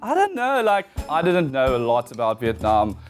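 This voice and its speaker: A man's voice: mid-range voice, wildly swooping pitch, moderate pace, soft background music. A man in his twenties with a South African accent, a guest talking casually and laughing often in an interview. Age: 20s.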